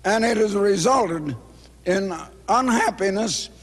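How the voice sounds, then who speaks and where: A hoarse man in his eighties giving a grave, emphatic formal address in measured phrases, pausing for an interpreter. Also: high-pitched, big-room sound. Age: 80s. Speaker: speaker addressing an audience.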